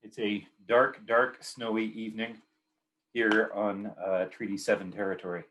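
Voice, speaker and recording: A man's voice: fairly low pitch, flat delivery, moderate pace, a bit bright, slight background noise, low-quality recording. A man with a Canadian accent giving a poetry reading of his own work in short, clipped, repeating phrases.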